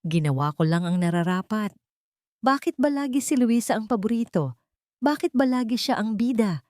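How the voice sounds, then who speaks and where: A woman with a Filipino accent voicing a spiteful, envious female villain in an audiobook, shrill and venomous. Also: lively intonation, normal speed, darkish tone, no echo, studio quality, lightly acted. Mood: bitter envy.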